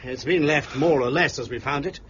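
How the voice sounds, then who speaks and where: An actor playing an earnest, deferential and honest police inspector with a rough, husky voice in a period mystery drama. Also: male voice, medium pitch, a little fast, fairly bright tone, highs cut off, a little hiss or hum, natural voice. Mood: doubtful.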